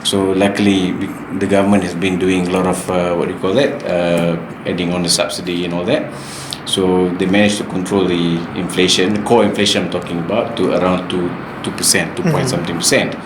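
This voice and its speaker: A man's voice: low voice, steady tone, a little fast, few pauses, reverberant, background noise. A man hosting a casual talk about the economy, explaining things with a lot of fillers and restarts. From New Zealand. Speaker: host.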